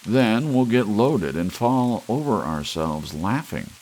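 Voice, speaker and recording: A man plays a nervous man covering his fear with bluster, waving off his friend in a deep, gravelly voice. His talk is slow and dismissive. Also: lively intonation, a little mellow, full character voice, low-quality recording. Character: jittery man brushing off worry with bluster.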